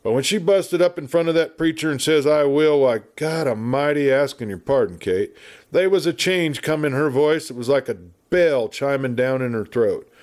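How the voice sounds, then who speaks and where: A man's voice: fairly high pitch, moderate pace, darkish tone, pitched above the performer's own voice, natural voice. A man voicing a garrulous, good-natured but irascible frontier marshal in a storybook, with an American Western drawl and folksy, blustery chatter. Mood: gossipy, animated. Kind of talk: storybook character voice.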